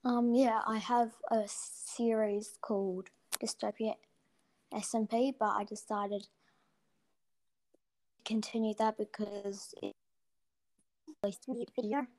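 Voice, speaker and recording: A woman's voice: a little high, steady tone, slow pace, long pauses, a little mellow. A young woman guest on a casual gaming interview who talks hesitantly, with many ums and trailing sentences, recorded on a cheap built-in mic. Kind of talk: casual chat.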